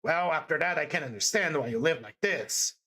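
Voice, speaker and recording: A woman's voice: low-pitched, expressive, a little fast, fairly bright tone. A woman voicing a bitter, sombre young man in an audiobook, asking terse, pointed questions. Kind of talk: dramatised audiobook character dialogue.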